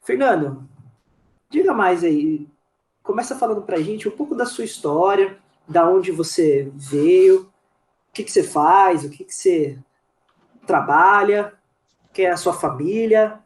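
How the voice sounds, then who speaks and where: A man's voice: high voice, animated, unhurried. A man with a Brazilian accent hosting a podcast casually, chatty and inclined to repeat himself. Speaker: podcast host. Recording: roomy, echoing sound.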